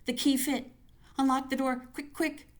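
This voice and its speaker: A woman's voice: medium pitch, animated, measured pace, a bit bright, voice pushed up. A woman voicing a terrified woman fleeing home at night, pleading frantically and breathlessly to herself. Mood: frantic.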